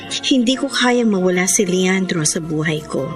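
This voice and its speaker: A woman's voice: fairly low pitch, animated, normal speed, lightly acted. A woman with a Filipino accent playing a devoted, lovelorn young woman, tender and earnest, in a radio drama. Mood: anguished longing. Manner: earnest, emotive, consoling. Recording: music bed under the voice. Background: background music.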